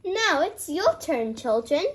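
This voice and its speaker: A friendly male bear doctor from a children's storybook, acted by a woman. He explains things in an encouraging, instructive way. The delivery is unhurried, with lively rises and falls. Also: high voice, exaggerated voice. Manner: instructive, kindly.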